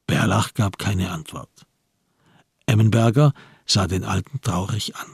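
A man as the sober narrator of a radio crime drama, telling the story in measured literary prose. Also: lowish voice, creaky, slightly rough, no echo, clean studio sound, exaggerated voice.

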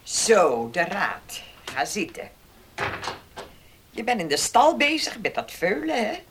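Domineering farm mistress, swinging pitch. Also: female voice, huge pitch swings, noisy background. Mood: stern. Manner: commanding, condescending, deliberate threats. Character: domineering, scheming mistress of a farm, cold and threatening. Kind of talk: Dutch radio play acting.

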